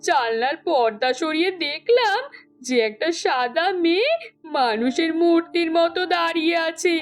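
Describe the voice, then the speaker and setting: A woman plays a middle-aged wife and mother in a horror cartoon. Her voice sits extremely high and she draws her words out slowly. She is fretful, complaining and dramatic in every line. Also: expressive, soft background music.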